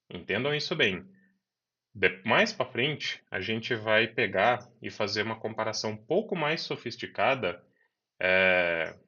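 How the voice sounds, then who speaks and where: A man teaches programming step by step, repeating key terms so students follow. His voice sits somewhat low. The recording is dull and lo-fi. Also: pushed and bright. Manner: talks students through code step by step, repeating key words. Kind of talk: screen-recorded coding lesson.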